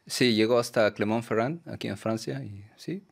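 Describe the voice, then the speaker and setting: A Peruvian man, a documentary filmmaker, answering interview questions in a soft, hesitant way with many fillers and tag questions. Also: fairly low pitch, normal speed, gravelly, slightly rough. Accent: Peruvian Spanish. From Peru.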